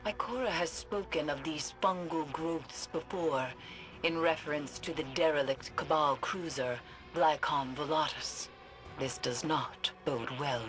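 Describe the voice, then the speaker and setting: A brooding expert on dark mysteries for a video game. Her low voice moves slowly and solemnly, with an ominous, cryptic weight. Clear music plays behind her. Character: grave, brooding female occult expert and field agent. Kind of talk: scripted video game voice acting.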